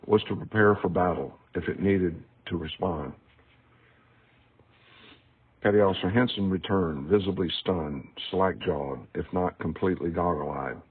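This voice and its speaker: Deep, husky man reading through tinny audio. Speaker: author reading a book excerpt. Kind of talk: scripted narration, audiobook reading of a memoir. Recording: tinny, phone-like narrow band.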